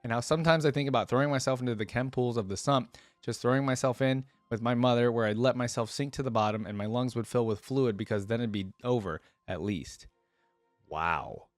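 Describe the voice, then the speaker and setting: A man's voice: mid-range voice, brisk, no echo, faint music behind. A man reading a story aloud as a reaction host, with informal, slangy asides, recorded close on a microphone.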